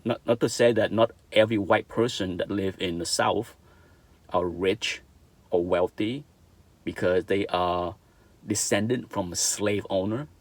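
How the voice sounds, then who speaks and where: A man's voice: on the low side, measured pace, no room sound. A middle-aged man from Vietnam with a Vietnamese accent, giving an earnest opinion monologue, repeating his points and checking in with "okay?". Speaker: vlogger.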